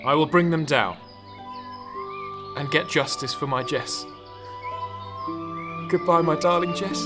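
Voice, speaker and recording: A man playing a bereaved, vengeful boyfriend in a radio drama, speaking solemnly and deliberately. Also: fairly high pitch, music in the background. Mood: grieving, resolute. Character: grieving, vengeful boyfriend vowing justice.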